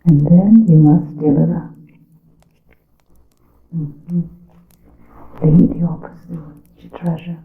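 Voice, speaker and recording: Muffled lo-fi echoey recording, scheming witch elder acted by a woman, breathy whisper, creaky low voice, formal ASMR monologue. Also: steady tone, exaggerated voice. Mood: hushed, conspiratorial. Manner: deliberate, formal, archaic diction with long pauses. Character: scheming female witch elder instructing her secret coven. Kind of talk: ASMR roleplay, scripted monologue. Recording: muffled phone-like lo-fi sound, roomy echo.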